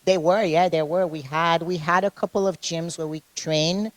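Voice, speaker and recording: A woman's voice: on the low side, fairly even intonation, moderate pace, no echo. Caribbean accent woman chatting at the gym, laughs a lot, dry close sound. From Haiti. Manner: laughs often, restarts sentences. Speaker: interview guest, fitness competitor. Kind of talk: casual interview chat.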